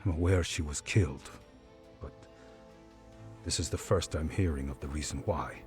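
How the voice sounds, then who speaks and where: A man's voice: rumbling low, moderate pace, faint music behind. An actor voicing a cold, defiant male prisoner in a game cutscene, answering in curt, dismissive lines. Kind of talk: game voice acting, dub.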